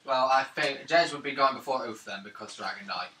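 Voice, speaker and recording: A man chatting casually during a tabletop role-playing game, excitable and jokey, often exclaiming. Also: mid-range voice, brisk. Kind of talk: casual chat around a gaming table. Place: room, recorded around a gaming table. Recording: roomy, distant mic, faint noise and clicks.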